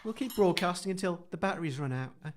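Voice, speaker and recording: An actor playing a timid, fussy, kind-hearted radio host in an audio comedy, hesitant and stammering. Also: male voice, sits high, lively intonation, fast talker, background noise. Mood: dejected.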